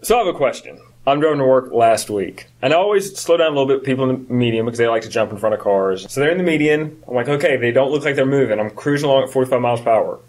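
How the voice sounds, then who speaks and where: Male co-host, early 30s, brisk delivery, conversational, animated storyteller. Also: medium pitch. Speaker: podcast co-host. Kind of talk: casual chat.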